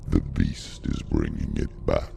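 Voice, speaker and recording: A man voicing a menacing, taunting dark demon in a video game, with a gravelly, guttural voice. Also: takes it slowly, slight background noise, close to an everyday voice. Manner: ominous, taunting. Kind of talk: dramatic video game acting.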